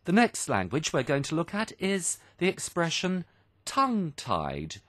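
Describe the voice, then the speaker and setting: Male radio teacher, animated pitch swings, simple didactic explanations, repeated key phrases, dry studio sound. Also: unhurried, no room sound. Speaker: radio programme host and English teacher. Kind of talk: explanatory English lesson for learners, radio presenting.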